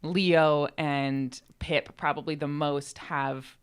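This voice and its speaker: Low-voiced woman in casual chat. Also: steady tone, slow pace, smooth. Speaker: podcast co-host and co-writer. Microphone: podcast microphone.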